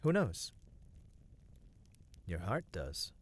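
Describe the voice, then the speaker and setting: A man playing a gentle, caring companion of a fantasy hero in a scripted ASMR roleplay, speaking soothingly and earnestly in formal phrasing. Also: sits low, wildly swooping pitch, very slow, slightly rough, full character voice.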